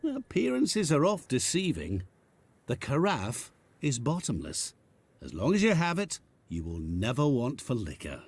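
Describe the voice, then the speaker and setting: An actor playing a sly, courteous devil-like merchant of wishes in a video game, speaking in a smooth, genteel, softly menacing way. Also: male voice, mid-range voice, huge pitch swings, unhurried, exaggerated voice. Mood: genial. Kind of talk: video game cutscene dialogue.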